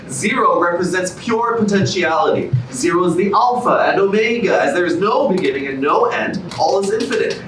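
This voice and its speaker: A lofty spiritual seeker played by a man in a stage comedy, lecturing mystically in a high, varied voice. The recording is distant and noisy. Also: roomy sound. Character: lofty spiritual male guru-like contestant. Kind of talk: staged reading of a musical comedy.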